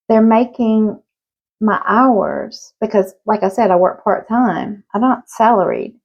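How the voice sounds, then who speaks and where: Slow-talking Southern woman in her fifties. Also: a little high, highs cut off. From Southern United States. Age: 50s. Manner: chatty, frank, laughs between thoughts. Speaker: vlogger. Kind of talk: casual talk to camera.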